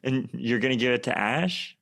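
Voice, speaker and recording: A man's voice: medium pitch, lively intonation, normal speed, gravelly, fairly bright tone. A man playing a skeptical, probing teenage girl in a radio play.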